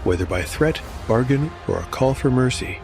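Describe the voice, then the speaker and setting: A man's voice: mid-range voice, expressive, moderate pace, creaky. A man narrating his solo tabletop game, rolling dice aloud and musing on the results. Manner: rolls dice aloud, reflective asides. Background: music.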